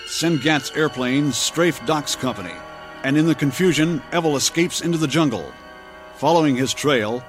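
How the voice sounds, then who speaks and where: A man announcing and narrating a pulp adventure radio serial in a grand, theatrical, scripted style. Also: on the high side. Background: dramatic music.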